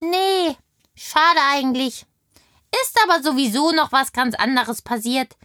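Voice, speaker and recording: A woman's voice: high voice, a little slow, bright, forceful tone, dry recording, clean studio sound. A woman voicing a chatty, indignant little kindergarten girl in a children's audio play, telling things with childlike eagerness. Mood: eager.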